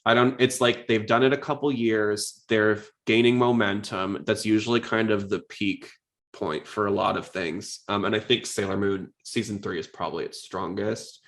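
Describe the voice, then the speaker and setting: Male podcast host, lowish voice, chatty and opinionated in relaxed fan discussion. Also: normal speed. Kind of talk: casual fan podcast chat.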